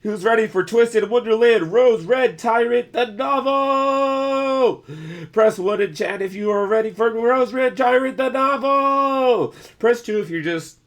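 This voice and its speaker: A man with a slightly stuffy nose chatting casually with live stream viewers in an excitable, playful, rambling way. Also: extremely high pitch, unhurried. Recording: music behind the voice. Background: background music with guitar.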